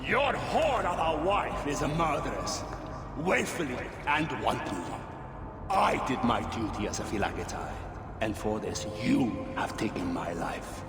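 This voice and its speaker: A man's voice: mid-range voice, animated, a little slow. An actor playing a defiant, scornful male officer who threatens revenge. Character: defiant male Greek law officer facing death. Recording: echoey cavern with long reverb and loud music.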